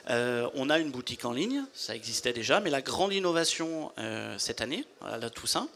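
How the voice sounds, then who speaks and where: A man in his forties, a company founder, explaining his business in a measured way into a handheld mic at a trade fair studio booth. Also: medium pitch, sing-song, vocal fry, a bit bright.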